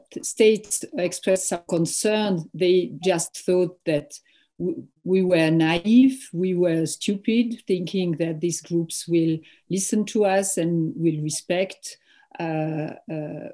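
Woman, earnest panelist, dark mellow tone, video-call audio. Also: fairly low pitch, takes it slowly. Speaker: panelist, NGO leader.

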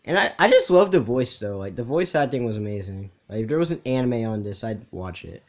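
A man's voice: mid-range voice, big pitch swings, brisk. A young man hosting a reaction video with casual, off-the-cuff commentary. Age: young adult.

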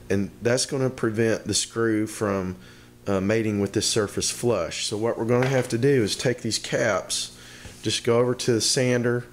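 Hoarse middle-aged man in a workshop. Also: lowish voice, echoey room, slight background noise. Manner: plain, halting, with many ums and uhs. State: hoarse from the flu. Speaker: DIY maker. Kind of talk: casual how-to explanation. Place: home workshop with shelves.

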